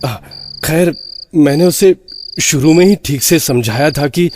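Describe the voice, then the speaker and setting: An actor playing an anxious, exasperated man who tells his eerie story in a horror audio drama, halting and repeating words for suspense. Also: a little high, a little mellow, a little hiss or hum, close to an everyday voice. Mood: shaken, suspenseful. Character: anxious, exasperated male flat owner recounting eerie events. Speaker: narrator and lead of a horror story.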